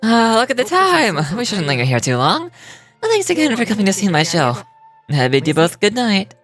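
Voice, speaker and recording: A woman voicing a suave, courteous young male stage magician in game dialogue, polite, gentle and theatrical. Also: medium pitch, huge pitch swings, brisk, soft background music. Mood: teasing, courteous. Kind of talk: game dialogue read aloud in character.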